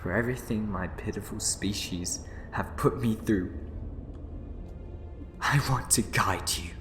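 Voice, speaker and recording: Man acting a sharp-voiced, scheming renegade time traveler. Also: on the low side, echoey room. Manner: theatrical, condescending, deliberate speechifying. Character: scheming, bitter renegade Time Lord man pleading his case. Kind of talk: sci-fi audio drama acting.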